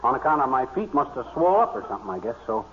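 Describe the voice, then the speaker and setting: An actor playing a boastful, bumbling American husband in an old radio comedy, talking in a rambling, folksy way. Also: male voice, medium pitch, big pitch swings, rapid-fire, natural voice. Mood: folksy, eager. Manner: folksy, rambling, tongue-twisting wordplay. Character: boastful, excitable husband and bumbling amateur duck hunter. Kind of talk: 1930s-40s radio comedy acting. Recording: muffled, noisy old-time radio recording.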